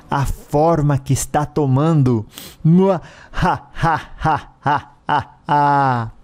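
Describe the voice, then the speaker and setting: Man performing a vengeful dead husband, sneering villain spirit, slow and menacing, dark mellow tone, audio storybook. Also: mid-range voice. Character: cruel vengeful dead husband, mocking shape-shifting spirit.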